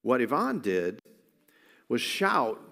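A man's voice: mid-range voice, very animated, slow, creaky, bright, forceful tone, big-room sound. A man preaching a sermon in an earnest, conversational way, often restarting his phrases. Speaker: pastor.

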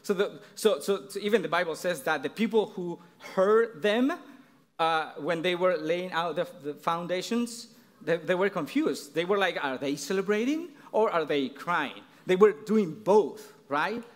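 A man with a Mexican accent preaching a sermon in an earnest, halting way, often restarting his phrases. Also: high-pitched. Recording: long reverb in a large hall.

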